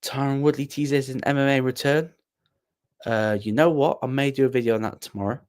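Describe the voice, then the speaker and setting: A man's voice: medium pitch, steady tone, fairly quick, darkish tone. A young man hosting a casual livestream, rambling and self-deprecating as he answers viewers with plenty of ums and restarts. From Wales. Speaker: livestream host.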